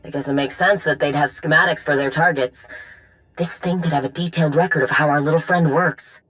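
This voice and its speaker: A woman's voice: sits low, steady tone, a little fast, fairly bright tone, soft background music, poor audio. A woman playing a resourceful, determined tech specialist in a video game, speaking in a casual, practical way.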